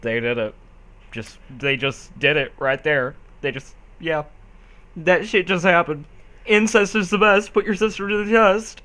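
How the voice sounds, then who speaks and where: A man's voice: on the high side, unhurried, sharp tone. A man giving joking, crude side commentary on a story, repeating phrases for comic effect. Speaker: co-reader.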